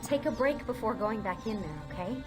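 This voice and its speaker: A woman's voice: mid-range voice, expressive, a little fast, close to an everyday voice. A woman playing a caring, reassuring teammate in a video game cutscene. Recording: game audio with music behind.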